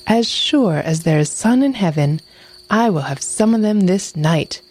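A cunning storybook mother fox, polite and silky, coaxing with flattering words, as a woman reader puts her on. The voice lifts well above the reader's own low tone and moves lightly. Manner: smooth and flattering.

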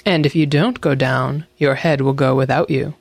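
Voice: medium pitch, fairly even intonation, normal speed, no room sound, natural voice. A storybook narrator voicing a greedy, suspicious male wolf who gives bossy, threatening commands. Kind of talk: animal fairy tale storytelling.

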